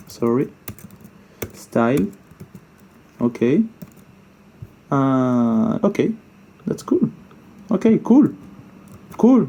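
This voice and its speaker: Male tutorial presenter, soft mellow tone, informal and hesitant, thinks out loud as he demonstrates. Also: a little high. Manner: informal, hesitant thinking aloud, repeats himself, mild swearing. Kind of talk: casual unscripted screen-share coding tutorial.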